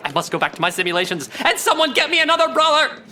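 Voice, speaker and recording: An actress playing a proud, pedantic, eccentric scientist and inventor in a game-world audio drama, fussy and emphatic. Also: female voice, sits high, big pitch swings, racing, bright, forceful tone, a little hiss or hum, full character voice. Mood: flustered. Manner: pompous, fussy, dismissive, emphatic.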